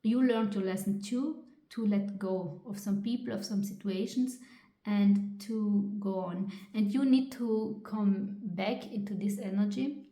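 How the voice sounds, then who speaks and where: A woman with a German accent, a native German speaker, giving a tarot reading in a halting way with many pauses and restarts. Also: medium pitch, dark tone, roomy sound. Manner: hesitant, halting with frequent ums and restarts. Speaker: tarot reader.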